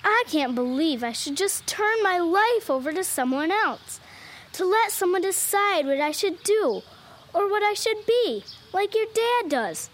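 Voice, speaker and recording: Woman playing a very high-voiced confident country girl. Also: very animated, a little slow, heavily acted. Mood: doubtful, independent. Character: confident, self-reliant young country girl who rides horses.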